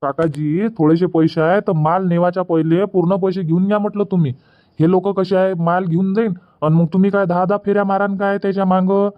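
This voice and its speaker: A man's voice: high-pitched, level tone, brisk, natural voice. A man playing plain-spoken village orange farmers in a cartoon comedy, chatting colloquially with a Varhadi Marathi accent from Vidarbha. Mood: chatty. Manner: colloquial, repetitive rustic chatter. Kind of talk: dubbed cartoon comedy dialogue. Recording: dull lo-fi audio.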